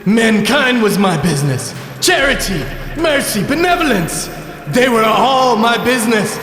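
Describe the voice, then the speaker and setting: A man acting in a radio play as a mournful, menacing ghost, solemn and declamatory, with drawn-out words and a ghostly reverb. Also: very high voice, big pitch swings, fairly bright tone, a little hiss or hum, exaggerated voice. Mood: anguished. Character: mournful, menacing male ghost of dead business partner. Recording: echoey with long reverb.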